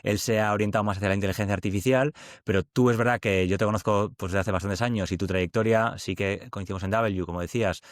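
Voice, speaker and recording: A man hosting an interview podcast, talking informally and warmly to a friend, with plenty of fillers. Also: lowish voice, fairly even intonation, fast talker, no room sound, studio quality. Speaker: podcast host. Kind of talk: casual conversational interview.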